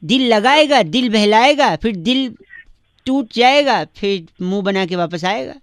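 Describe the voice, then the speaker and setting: A man's voice: sits high, lively intonation, a little slow, dry recording, clean studio sound. A man voicing a cheeky, mock-polite prankster who makes comic radio prank calls in an earnest, rambling way. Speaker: radio prank-call host. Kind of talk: comic prank phone call.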